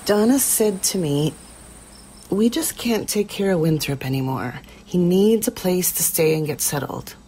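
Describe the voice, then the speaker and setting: A woman playing a quiet, lonely middle-aged churchgoer who recounts her past haltingly and plainly, as in a documentary interview. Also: fairly low pitch, expressive, slight background noise, rough recording. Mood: subdued, reflective. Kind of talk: audio drama mock-documentary interview.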